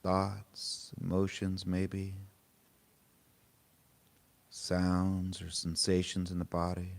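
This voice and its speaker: A man leading a guided meditation, speaking in short, unhurried, gently informal phrases with pauses between them. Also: deep voice, completely flat delivery, creaky. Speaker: meditation teacher. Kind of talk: guided meditation, spoken softly to a group.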